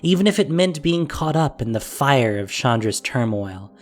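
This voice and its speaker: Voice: medium pitch, sing-song, moderate pace, faint music behind. A narrator reading a scripted fantasy story in a dramatic audio drama style.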